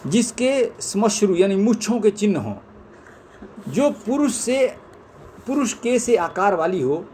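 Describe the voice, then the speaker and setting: A man's voice: high voice, expressive, measured pace, darkish tone, slight background noise. A man reading scripture aloud in a formal way, phrase by phrase, in an amateur recording. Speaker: reader of scripture.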